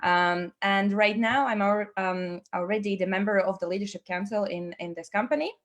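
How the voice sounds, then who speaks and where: A woman's voice: mid-range voice, moderate pace. A woman from Latvia hosting an online team webinar, upbeat and chatty, with many fillers and restarts, speaking over a video call. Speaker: webinar host. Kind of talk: online team meeting presentation.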